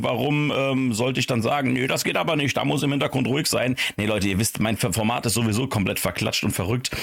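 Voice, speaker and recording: A man with a gravelly, husky voice hosts a casual podcast. He talks in loose, slangy phrases with effusive emphasis. His pitch sits in an ordinary middle range. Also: fairly bright tone. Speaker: podcast host.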